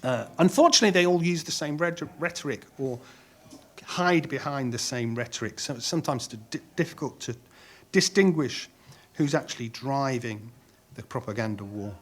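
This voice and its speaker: A middle-aged man speaking on a conference panel in a measured way, pausing with frequent ums and restarting phrases. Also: mid-range voice.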